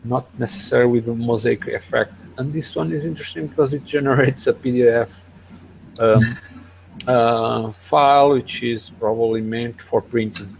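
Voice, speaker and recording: A man co-hosting a casual tech podcast over an online call, speaking in a hesitant, halting way. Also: medium pitch, fairly even intonation, creaky, a little hiss or hum, poor audio. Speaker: podcast co-host. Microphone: online video hangout.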